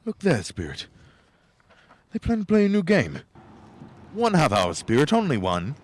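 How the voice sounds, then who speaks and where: A man's voice: a little high, huge pitch swings, measured pace, full character voice. A man acting a humbled, awed old miser in a radio play, earnest and hesitant. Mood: eager.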